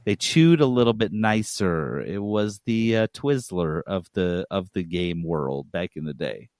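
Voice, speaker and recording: A man co-hosting a podcast, telling things in an animated, emphatic way amid casual banter. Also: low voice, a little slow, dry recording, clean studio sound. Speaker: podcast co-host.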